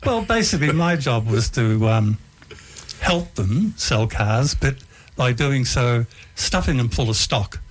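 A man's voice: mid-range voice, unhurried. Easygoing older Australian man with ums. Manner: relaxed, hesitant with frequent ums. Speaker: guest. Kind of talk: life story interview.

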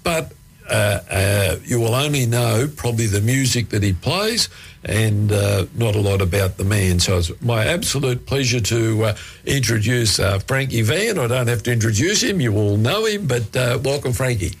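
A man's voice: mid-range voice, lively intonation, moderate pace, breathy edge. A man hosting a community radio interview, introducing his guest and prompting him with short, friendly acknowledgements.

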